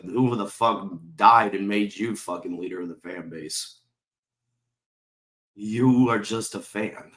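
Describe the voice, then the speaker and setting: Low-voiced man with a sore throat, ranting. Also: fairly bright tone, reverberant. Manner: heated, profane, repetitive rhetorical questions. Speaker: sports channel host. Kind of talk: ranting fan commentary to camera.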